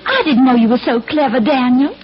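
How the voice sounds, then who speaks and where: Woman acting a high, sugary belle. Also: a little fast, close to an everyday voice. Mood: coy, flattering. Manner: sugary, coy, drawling. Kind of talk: 1940s radio acting. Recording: dull vintage radio recording.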